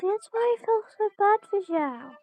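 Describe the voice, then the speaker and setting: High-voiced woman chatting over a game. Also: expressive, normal speed, dark tone, rough recording. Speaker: video game streamer. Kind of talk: casual gameplay commentary.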